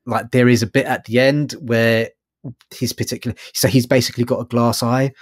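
A man's voice: mid-range voice, little pitch movement, a little fast, no room sound, studio quality. A man co-hosting a podcast, discussing books casually and feeling his way tentatively through his points. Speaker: podcast co-host.

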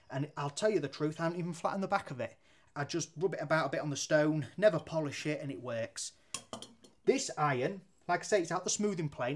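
A down-to-earth man in his thirties, his voice sitting a little high for a man. He talks through a woodworking demonstration in a brick workshop, loose and chatty, dropping mild swears and local slang as he works at the bench. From England. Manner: talks while handling and planing wood at a bench. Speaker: woodworking teacher. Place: indoor workshop with brick walls.

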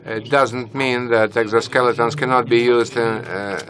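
A man commenting from the audience at a conference, holding a handheld mic and deliberately speaking lower than his natural high voice. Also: fairly even intonation, a bit bright. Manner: measured, with fillers. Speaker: audience member. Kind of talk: question from the floor. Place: conference hall, standing among the audience. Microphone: handheld microphone.

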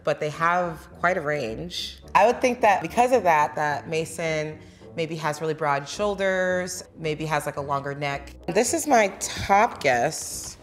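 Soft-spoken woman analyzing voices. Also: low-pitched, unhurried.

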